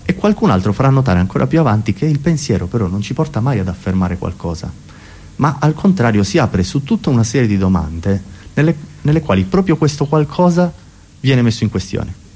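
A male comedian performs a philosophical monologue with a very lively, swooping delivery. He rambles and corrects himself like a mock professor, at a middling male pitch. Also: brisk, slight background noise. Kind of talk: comic philosophical stage monologue.

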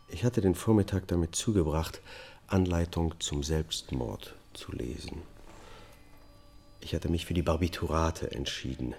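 An actor playing a weary accused man in a radio drama, confessing in a halting, controlled way. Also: very deep voice, gravelly, darkish tone, a little hiss or hum, heavily acted. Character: male accused family killer, a weary confessing double-life husband. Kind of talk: radio drama acting, first-person testimony.

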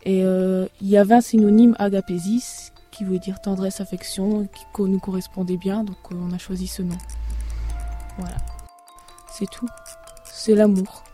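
Woman from Belgium, halting and full of fillers, very dark mellow tone, faint music behind; interview after a concert. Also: medium pitch, level tone. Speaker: singer and lyricist of a duo. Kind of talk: hesitant interview answers.

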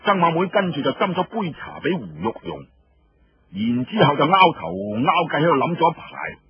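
A man with a Cantonese accent and a husky voice, narrating a story in colloquial, dramatic storyteller style. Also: on the high side, very animated, normal speed, lo-fi sound, a little hiss or hum.

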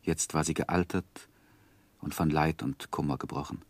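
An actor playing a reflective, grieving gentleman who narrates his life story in a measured, literary radio play style. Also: very deep voice, steady tone, no room sound. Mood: solemn grief. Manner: measured, solemn, sorrowful.

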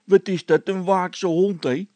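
An anxious, sheepish workman from a comic tale, acted by a male storyteller. His timid, plaintive voice is lifted well up in pitch. It is captured dry and close. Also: steady tone, measured pace, darkish tone, no echo. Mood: admiring. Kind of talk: character voice in a read-aloud comic story.

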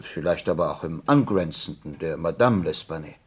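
An actor playing a detective's attentive companion in a radio play, husky, with a measured, hesitant, questioning manner. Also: male voice, a bass voice, lively intonation, full character voice. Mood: thoughtful, puzzled.

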